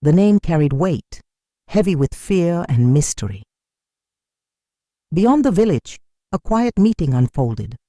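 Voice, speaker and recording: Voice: medium pitch, very animated, unhurried, pauses often, warm tone, dry recording, clean studio sound. A narrator telling a dramatic folktale from a script in a solemn, literary manner.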